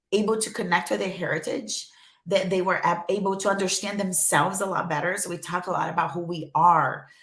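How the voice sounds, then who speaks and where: A low-voiced woman from Brazil, a native Portuguese speaker, talks through an online interview in a chatty, animated way, full of ums and restarts. Her voice sits deep and carries the echo of a bare room. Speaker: guest, journalism professor. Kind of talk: casual interview chat. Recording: echoey room.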